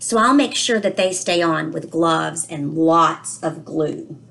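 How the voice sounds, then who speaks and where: A woman voicing an anxious, literal-minded little boy in a singsong, expressive storybook reading. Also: low-pitched, slow pace, gravelly, exaggerated voice. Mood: worried. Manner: worried, singsong rhyming delivery.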